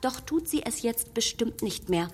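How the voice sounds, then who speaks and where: An actress playing a clever, headstrong young matchmaker in a radio play, arguing in a spirited, defiant way. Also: female voice, on the high side, expressive, normal speed, breathy edge, long reverb tail. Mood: cool.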